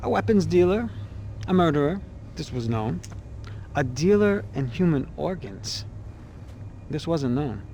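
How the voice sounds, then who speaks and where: Wry, measured male insider, noisy background. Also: fairly high pitch, sing-song, warm tone. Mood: cynical, guarded. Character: wry, cautious male insider, cynical and disillusioned. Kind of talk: video game cutscene acting. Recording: clear background noise.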